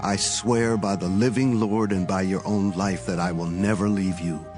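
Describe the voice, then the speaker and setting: A man's voice: sits low, steady tone, normal speed, over music, natural voice. An actor playing a devoted, earnest male disciple of a prophet in a dramatized audio Bible, with a resolute delivery. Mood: resolute, loyal.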